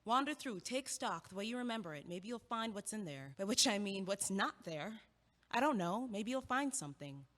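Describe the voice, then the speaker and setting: A brisk, teasing young student, as a woman acts her out. Her voice is bright and lively, rising and falling freely. A long reverb trails each line. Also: mid-range voice. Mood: encouraging. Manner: brisk, lightly teasing, encouraging. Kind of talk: audio play acting.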